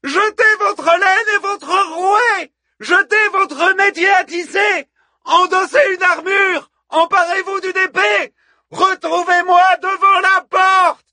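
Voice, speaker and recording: A male narrator puts on a robust, fiery woman of ancient Troy in an epic audiobook. The voice is pushed very high and shouted, bright and forceful, with impassioned calls hurled at a crowd in a dry recording. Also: steady tone, slow, dry recording, full character voice. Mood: urgent, exhorting. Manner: impassioned, bellowing oratory with rhetorical repetition. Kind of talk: audiobook character voice, epic fiction.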